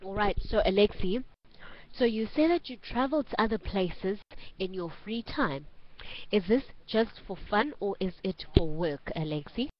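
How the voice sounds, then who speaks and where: A woman with a South African accent teaching an online English class, giving instructions clearly and repeating phrases for learners. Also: medium pitch, expressive, measured pace. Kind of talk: online group lesson. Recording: dull lo-fi online call audio.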